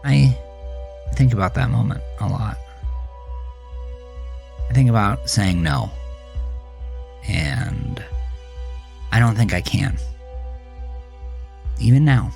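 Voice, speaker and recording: An actor playing a jaded man who looks back on his angry teenage years in a brooding, confessional horror monologue with halting pauses. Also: on the low side, vocal fry, darkish tone, full character voice. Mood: haunted, confessional. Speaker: narrator of a horror audio drama. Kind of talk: first-person scripted horror narration, dramatic monologue. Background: music.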